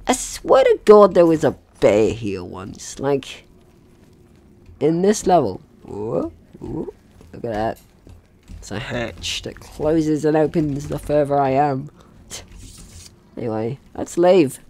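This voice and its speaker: Animated man doing playful game commentary. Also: fairly high pitch, takes it slowly, gravelly, long pauses. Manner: playful, swearing, talks to game characters. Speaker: gaming host. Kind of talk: casual let's-play commentary.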